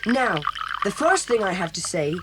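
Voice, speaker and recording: A woman voicing a bossy young schoolboy who leads a children's club in an 1980s audio drama, lively and brisk. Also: mid-range voice, heavy background noise, close to an everyday voice. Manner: brisk, bossy, takes charge.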